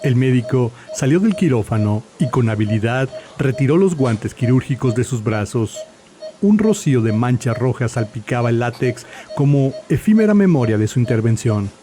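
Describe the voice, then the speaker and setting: A man's voice: medium pitch, very animated, normal speed, warm tone. A man giving a dramatic scripted narration as an audiobook narrator. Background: music.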